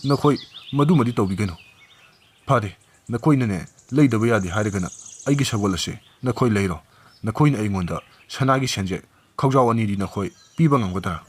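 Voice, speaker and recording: A man's voice: on the low side, animated, very fast. A man voicing a humble but crafty village cobbler in a storybook tale, with a persuasive way of talking. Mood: pleading, sly. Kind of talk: storybook character acting. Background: music.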